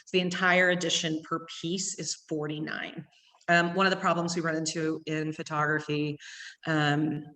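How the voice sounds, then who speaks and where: A woman with an East Texas accent, a gallery owner giving a quick, chatty tour full of ums. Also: on the low side, a bit bright. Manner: fast-talking with many ums. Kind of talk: virtual gallery tour talk. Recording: long hall reverb.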